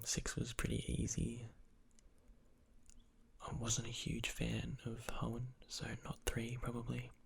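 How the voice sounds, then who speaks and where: A young man, an ASMR channel creator, answers viewer questions in a soft whisper, rambling with fillers. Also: mid-range voice, vocal fry, slightly rough.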